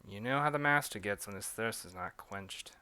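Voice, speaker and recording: A deferential British manservant, as a man puts him on, speaking softly and politely. The tone is bright and smooth, recorded dry and close. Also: mid-range voice, sing-song, measured pace, no room sound. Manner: polite, deferential, soft-spoken. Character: dutiful male British butler servant.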